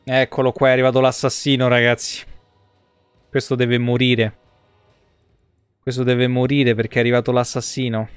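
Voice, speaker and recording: A man's voice: medium pitch, monotone, moderate pace, long pauses, smooth, no room sound, soft background music. A man in his thirties doing live gameplay commentary, grumbling and foul-mouthed as he chats casually. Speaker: video game streamer. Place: indoor room at a streaming desk.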